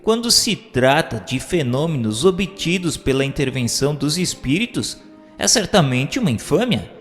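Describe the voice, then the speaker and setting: A Brazilian man reading formal scripted narration aloud in a measured, declamatory way, like an audiobook. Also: fairly high pitch, sing-song. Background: music.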